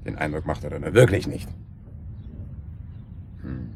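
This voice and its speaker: A man's voice: a bass voice, unhurried, vocal fry, a little mellow, exaggerated voice. An actor playing a genial, inquisitive local man, chatty and politely probing, in naturalistic radio drama. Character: Herr Brohmann, genial, inquisitive married boat renter. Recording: background noise and ambience.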